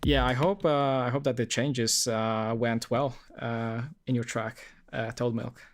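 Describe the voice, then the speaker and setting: Young guy from poland rambling on stream, lots of uh and like, mid pitch. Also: steady tone, unhurried, faint music behind. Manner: rambling, many fillers and restarts. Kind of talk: casual live-stream chat.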